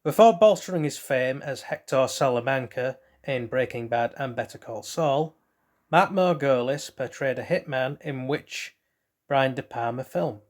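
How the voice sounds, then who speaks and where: A man's voice: mid-range voice, measured pace. A man hosting a casual trivia quiz, reading out questions and then rambling loosely through hesitant asides about the answers. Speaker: quiz host.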